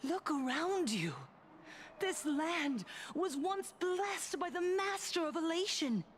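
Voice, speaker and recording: An actress playing a fervent female cult preacher of happiness in a video game, solemn and theatrical, with breathy whispered phrase endings. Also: very high voice, lively intonation, slow pace, a little hiss or hum, heavily acted. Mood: wistful, solemn. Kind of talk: theatrical game voice acting, sermon-like speech.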